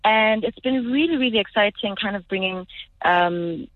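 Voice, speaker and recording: South african woman, singer being interviewed, upbeat n gracious, kinda muffled radio audio, mid pitch. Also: moderate pace, no room sound. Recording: dull lo-fi radio feed.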